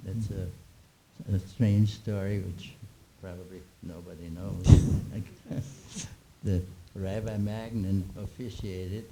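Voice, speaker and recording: A man in his nineties, born in Austria with German as his first language, telling old stories in a halting, chuckling way with many restarts. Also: low-pitched, fairly even intonation, noisy background. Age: 90s. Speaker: interviewee. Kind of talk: reminiscing Q&A.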